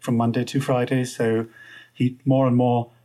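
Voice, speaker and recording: A German man with a German accent, a guest talking in a relaxed, chatty way and laughing now and then. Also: medium pitch, moderate pace. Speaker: podcast guest. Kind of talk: casual interview chat.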